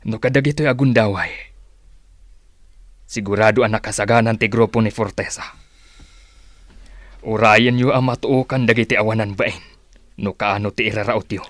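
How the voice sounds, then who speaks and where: A fatherly older uncle in a radio drama, acted by a man, counsels in a low voice. He is cautious and measured, admonishing, recorded close and dry. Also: fairly even intonation, fairly bright tone, dry recording, close to an everyday voice. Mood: wise, warning. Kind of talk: radio drama acting in Ilocano.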